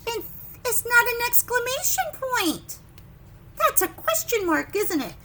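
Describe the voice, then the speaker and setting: A woman leading preschool story time, reading a picture book with simple, expressive asides and questions to young children. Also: very high voice, measured pace, a little hiss or hum.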